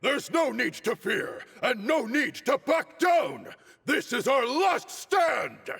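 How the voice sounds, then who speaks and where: Grandiose gravelly zealot commander. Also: male voice, very high voice, very animated, slow, a bit bright, big-room sound, full character voice. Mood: defiant, rousing. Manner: grandiose, theatrical declamation. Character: proud, fanatical male commander of desert zealots. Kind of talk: dramatic video game voice acting.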